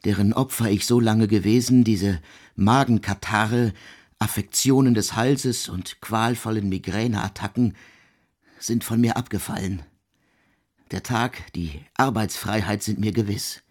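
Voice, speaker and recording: An actor playing an intense, exacting middle-aged composer in a literary radio play, speaking emphatically and precisely. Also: male voice, fairly low pitch, measured pace, darkish tone, dry recording, studio quality. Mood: exalted, triumphant.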